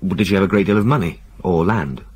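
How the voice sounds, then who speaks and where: An actor playing a polite, deferential young junior solicitor in a radio drama. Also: male voice, deep voice, fast talker, breathy edge, no room sound. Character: deferential young male clerk, eager, polite. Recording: old radio broadcast, faint hiss.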